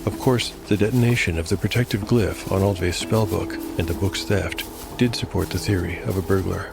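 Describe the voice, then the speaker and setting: A man reading scripted dark fantasy narration in a measured storytelling manner. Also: deep voice, fairly even intonation, vocal fry. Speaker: narrator and host. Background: music.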